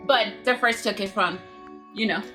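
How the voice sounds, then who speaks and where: A woman's voice: medium pitch, normal speed, sharp tone, soft background music. A young woman from Ecuador, chatting casually as co-host and sharing fun facts with frequent laughs. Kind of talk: casual chat.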